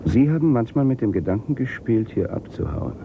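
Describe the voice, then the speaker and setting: A man playing a suspicious, insinuating companion in a radio drama. His voice is medium-pitched and questioning, dulled, with clear vehicle noise around it. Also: expressive, a little fast, narrow, tinny audio, natural voice. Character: probing, suspicious male companion. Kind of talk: German radio drama acting.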